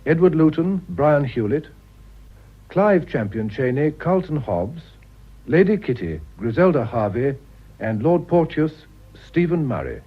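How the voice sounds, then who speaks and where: A muffled old radio broadcast features a male announcer with a Received Pronunciation accent. His voice is slightly high and husky as he reads formally. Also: sing-song, measured pace. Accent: English Received Pronunciation.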